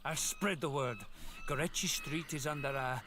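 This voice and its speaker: A man's voice: fairly high pitch, normal speed, noisy background, lightly acted. An actor playing a cocky young male street gang boss in a video game, speaking with a menacing drawl. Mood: amused. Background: game music.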